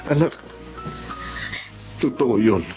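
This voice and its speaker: A man's voice: mid-range voice, takes it slowly, natural voice. A man plays a tender, wounded Filipino father in a radio drama, earnest and sorrowful. His voice is muffled and lo-fi, with prominent music playing behind it. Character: heartbroken, devoted working father and betrayed husband. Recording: dull lo-fi audio under loud music.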